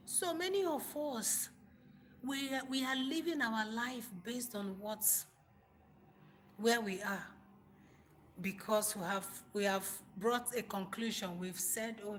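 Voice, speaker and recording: A woman with a Nigerian accent speaks in a bright, forceful voice. She gives informal motivational talk to live viewers, leaning on repetition and pointed rhetorical questions for emphasis. There is noticeable noise behind her voice. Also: mid-range voice. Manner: emphatic, repeats phrases for stress, rhetorical questions. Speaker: livestream host, motivational speaker.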